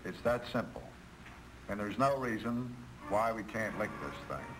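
A man's voice: on the low side, animated, a little slow. Earnest American male manager played by a man, stiff 1950s training film meeting, slight hiss. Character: earnest railroad manager chairing a safety meeting. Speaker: railroad official leading a meeting. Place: conference room. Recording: noisy 1950s film soundtrack.

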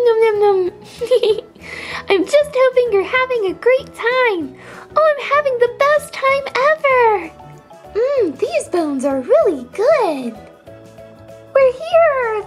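Children's toy-play pet: tiny, very high-pitched voice, unhurried singsong, endlessly excited, light music behind. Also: female voice, exaggerated voice. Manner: exclamatory, gushing, singsong.

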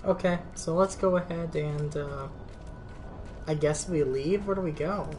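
Woman game streamer w a low voice, just chatting, game music pretty loud. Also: unhurried. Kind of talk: casual co-op gameplay chat. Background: video game music.

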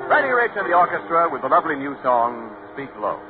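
A man's voice: on the high side, very animated, fast, over music. A man with an American accent reading advertising copy as a 1940s radio announcer. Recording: tinny 1940s radio recording.

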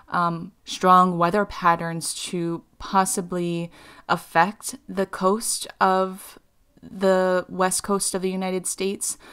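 A woman from Hawaii giving a channeled spiritual talk as a voice-over, halting often with fillers and restarts. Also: lowish voice, fairly bright tone, clean tone.

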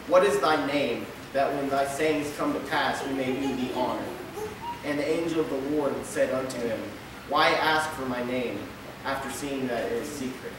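A young man in his twenties reading a sermon at a pulpit in a small church, steady, with the odd stumble and filler word. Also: medium pitch, distant mic, noisy background. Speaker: lay preacher. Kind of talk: sermon read from notes. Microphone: pulpit mic, camera at the back. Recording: echoey church hall recorded from afar.

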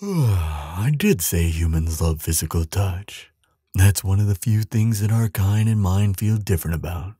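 Gentle giant played by a man, low mellow voice, slow, tender, affectionate, hesitant hums, intimate ASMR roleplay. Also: animated, breathy edge, dry recording, clean studio sound, exaggerated voice. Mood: thoughtful, affectionate. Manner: tender, unhurried, hesitant murmuring with soft hums and sighs.

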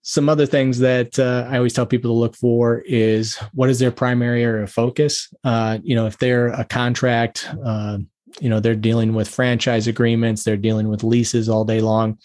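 A man's voice: mid-range voice, flat delivery, normal speed, a little mellow. Attorney guest, male, methodical and practical, asks question after question. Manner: methodical, lists questions one after another. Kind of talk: interview answers, advising.

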